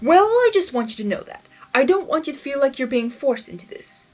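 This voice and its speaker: A woman's voice: high-pitched, very animated, fairly quick, darkish tone, faint music behind, voice pushed up. A woman voicing a playful male chaos spirit in a fan fiction reading, theatrical and doting. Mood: grand.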